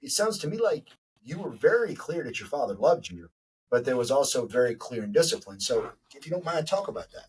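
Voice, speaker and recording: A man's voice: mid-range voice, sing-song, a little fast, distant mic, slight background noise, poor audio. A man in his forties hosting a podcast interview, talking in a reflective, rambling way with many fillers. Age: 40s. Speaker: podcast host. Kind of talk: casual interview conversation.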